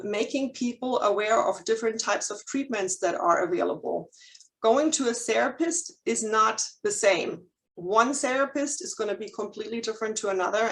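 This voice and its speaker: A woman in her forties with a German accent speaks to a room of listeners, candid and a little nervous. She fills pauses with ums and restarts her sentences. Her pitch sits in a middle range for a woman. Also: rough recording. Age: 40s. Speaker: licensed counselor. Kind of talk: chamber of commerce presentation.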